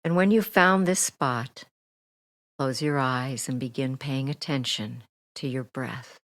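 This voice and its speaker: Female meditation guide, notably low register, unhurried and soothing, soft trailing phrase ends. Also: no echo, studio quality. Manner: soothing, gentle, deliberate with long pauses. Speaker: hypnotherapist guiding a meditation. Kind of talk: guided meditation, relaxation script.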